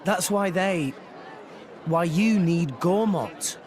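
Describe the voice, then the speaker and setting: A man's voice: sits high, takes it slowly. A young man playing an earnest, plain-spoken, headstrong teenage boy hero in a video game. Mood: frank, serious. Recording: game music and crowd bustle behind.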